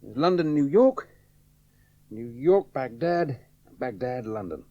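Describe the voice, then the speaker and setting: A man's voice: medium pitch, expressive, slow pace, warm tone, highs cut off. An actor playing a charming, hard-drinking young male pilot in a radio drama, breezy and teasing. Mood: offhand. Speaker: aviator. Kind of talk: 1970s radio drama acting.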